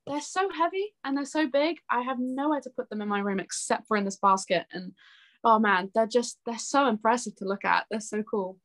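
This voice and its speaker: A woman in her twenties speaking as an interview guest in a casual, chatty and expressive way. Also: a little high, fairly quick. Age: 20s. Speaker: interview guest, singer-songwriter.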